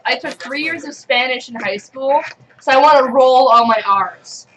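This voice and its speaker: A woman's voice: high voice, normal speed, fairly bright tone, slight background noise, rough recording. A woman on a podcast panel, chatting casually. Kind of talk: casual chat.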